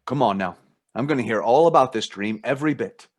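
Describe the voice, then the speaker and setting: An actor playing a protective, teasing older brother in a radio play, speaking in an emphatic, insistent way. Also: male voice, medium pitch, a little fast, lightly acted. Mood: soothing, firm. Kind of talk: radio drama acting.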